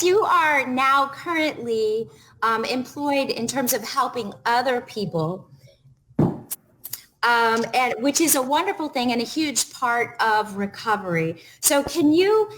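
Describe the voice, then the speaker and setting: High, bright-voiced woman interviewer. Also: expressive, a little slow, slight background noise, rough recording. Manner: warm, encouraging, asks leading questions. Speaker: co-host from a recovery center. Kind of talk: interview on a live video call. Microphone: video call webcam.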